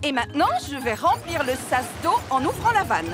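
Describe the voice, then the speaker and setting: A calm mother dog from a dubbed cartoon patiently explains how her canal lock works. Her voice sits high. A music bed runs under the dialogue. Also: fairly quick. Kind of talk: cartoon dubbing.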